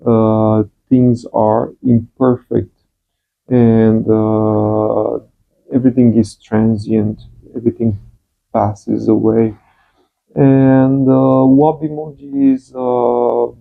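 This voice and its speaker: A man with a Romanian accent introduces his channel, halting often and filling gaps with soft fillers. His voice has a gravelly fry, and he speaks slowly. The sound is thin and phone-like. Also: medium pitch. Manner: slow and halting, many uh fillers. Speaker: channel host, calligraphy teacher. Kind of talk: hesitant personal introduction.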